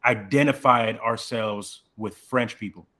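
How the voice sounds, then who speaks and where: A man who is an author, telling personal stories in a relaxed, reflective way in casual conversation. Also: lowish voice, unhurried. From Congo, raised partly in South Africa and Texas.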